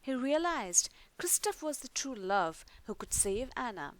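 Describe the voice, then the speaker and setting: Woman reading a children's story, lively pitch swings. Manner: expressive, deliberate storytelling. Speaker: storybook reader. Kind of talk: read-aloud children's story narration.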